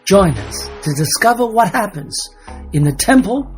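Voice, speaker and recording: A man reading a scripted trailer narration in a dramatic, deliberate storytelling manner. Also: high voice, sing-song. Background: music.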